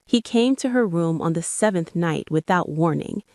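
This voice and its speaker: Lowish-voiced woman narrating dramatic fiction. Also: animated, moderate pace, a little mellow, no echo, clean studio sound. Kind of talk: dramatic scripted story narration.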